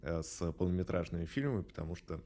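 A middle-aged man giving thoughtful interview answers in a reflective, measured way with hesitant pauses. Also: deep voice, lively intonation, dark tone. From Russia. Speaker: animation studio director, interview guest.

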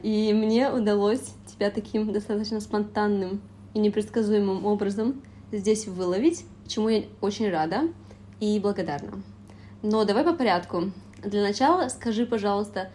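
Woman interviewer, polite and orderly, warm low tone, faint hiss behind. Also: moderate pace. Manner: attentive, polite and structured questioning. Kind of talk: interview questions.

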